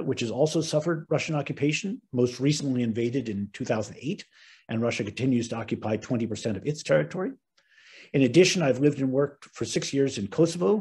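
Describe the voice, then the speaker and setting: A middle-aged American man opens a webinar, speaking in an earnest, measured tone with the odd "um" and restart. His voice is husky and mellow, and it comes through a video-call microphone. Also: mid-range voice. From United States. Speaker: webinar host and moderator. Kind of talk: formal opening remarks.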